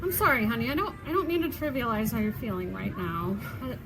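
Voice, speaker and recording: A woman acting a wry, practical wife who needles fondly, in a stage comedy. Her voice is high, and the live recording is clearly noisy. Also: sing-song, fast. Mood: soothing, exasperated. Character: teasing, practical wife, affectionately sardonic.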